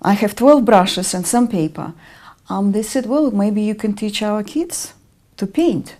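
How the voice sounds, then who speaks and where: A middle-aged woman from Russia with a Russian accent, answering interview questions in a measured way with frequent ums. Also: mid-range voice, dark tone. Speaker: interview guest, artist and educator.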